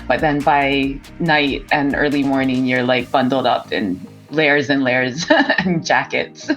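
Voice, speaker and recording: Female interview guest, Californian American accent, low register, conversational delivery on a remote call with music present. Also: slow pace, fairly bright tone, poor audio. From San Francisco Bay Area, United States. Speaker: interview guest, startup co-founder and CEO. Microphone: remote video call.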